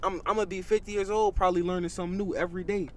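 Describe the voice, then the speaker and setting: Brief: young man, 18, high-pitched, animated, casual podcast guest. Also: rapid-fire, slight background noise. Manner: animated, repeats "you know what I mean" and "bro". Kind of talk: casual chat.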